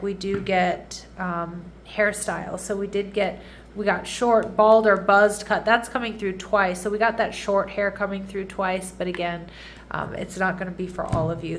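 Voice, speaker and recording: Woman, congested, nasal, chatty tarot reader, mid pitch, faint background hiss. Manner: chatty, checks in often with the audience. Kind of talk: casual tarot reading monologue.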